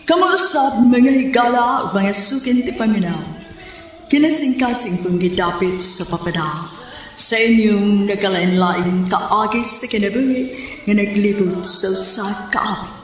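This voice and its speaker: A woman's voice: mid-range voice, unhurried, very echoey, far from the mic, poor audio. A woman radio host with a Cebuano accent, closing the program in a formal announcing manner.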